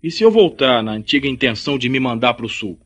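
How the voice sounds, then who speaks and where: An actor playing an insistent, jealous young rural suitor in a radio drama, with a Brazilian accent. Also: male voice, mid-range voice, sing-song, quick pace, a bit bright. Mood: jealous, pleading.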